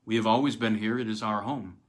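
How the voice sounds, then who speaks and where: A man's voice: lowish voice, steady tone, fairly quick, lightly acted. A man reading a tale voices an old Italian peasant manservant who answers simply and with resignation. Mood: resigned, humble.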